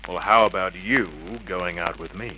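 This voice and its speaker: An actor playing a cocky, wisecracking private detective with a brisk, slangy, hard-boiled delivery in an old radio drama. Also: male voice, low-pitched, sharp tone, poor audio. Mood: flirtatious. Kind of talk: 1950s radio detective acting and narration. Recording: old radio recording, faint noise.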